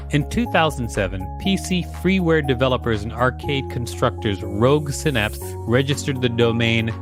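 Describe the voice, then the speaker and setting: A man reads an encyclopedia entry aloud as a podcast co-host, in a plain, even delivery. His voice sits at an ordinary middle pitch. Background music plays clearly under him. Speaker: podcast co-host reading an article. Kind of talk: reading an encyclopedia article aloud.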